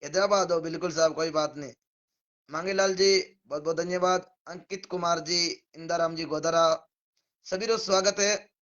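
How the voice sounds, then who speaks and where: Hoarse Rajasthani man, fairly high voice, live-streaming on a muffled phone mic, reeling off viewers' names with thanks. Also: steady tone, moderate pace, a bit bright. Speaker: devotional singer hosting a live stream. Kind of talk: casual live-stream greetings.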